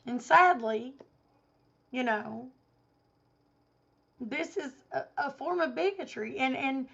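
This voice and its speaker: A woman vlogger giving an indignant opinion rant to camera, hoarse because she has a cold and is losing her voice. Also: high voice, slow, fairly bright tone, highs cut off. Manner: indignant, emphatic.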